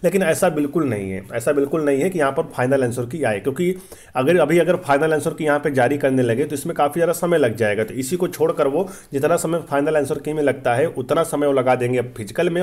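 A man speaks at a quick clip as he explains updates to students. His pitch sits in an ordinary male range. He repeats key points for emphasis and keeps adding short check-in tags. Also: a little mellow. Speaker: host of exam-update channel.

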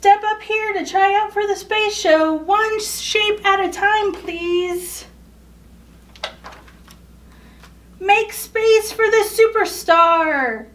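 Woman as a bossy, high-voiced storybook director. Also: takes it slowly, hardly any pauses, fairly bright tone, far from the mic, heavily acted. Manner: commanding, dismissive. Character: bossy, self-assured triangle show director.

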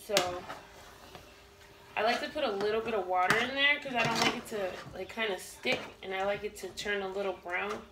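Chatty gravelly woman in a roomy kitchen. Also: mid-range voice, fairly quick, heavy background noise. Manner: rambling, chatty, many fillers and restarts. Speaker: cooking vlogger. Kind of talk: casual storytelling while cooking. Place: home kitchen, indoors. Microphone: camera set across the room. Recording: roomy kitchen, distant camera, pan clatter.

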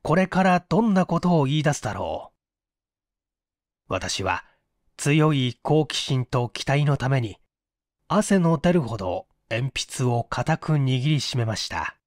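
A man's voice: medium pitch, sing-song, a little slow, darkish tone, dry recording, clean studio sound. A man narrating a literary mystery story in a dramatic audiobook style. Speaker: audiobook narrator, stage performer.

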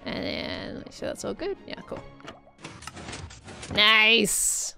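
A slightly hoarse woman with an Australian accent, chatting casually through a Minecraft let's-play. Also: medium pitch, wildly swooping pitch, slow pace, sharp tone, soft background music. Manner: chatty, bubbly, cooing over things. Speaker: Minecraft YouTuber.

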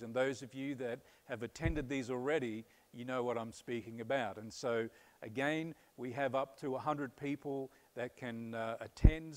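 A man in his fifties with an Australian accent, a pastor giving earnest, upbeat church announcements on a stage, pausing often on "uh", with a lapel mic. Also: medium pitch. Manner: earnest, upbeat, many "uh" fillers. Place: church stage before a curtain.

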